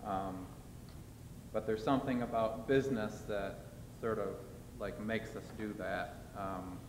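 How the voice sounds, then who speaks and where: Rambling low-voiced man in an echoey hall. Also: steady tone, measured pace. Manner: rambling, many fillers and restarts. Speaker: farmer panelist. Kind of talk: panel discussion answer. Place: large hall, panel event. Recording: echoey hall with background noise.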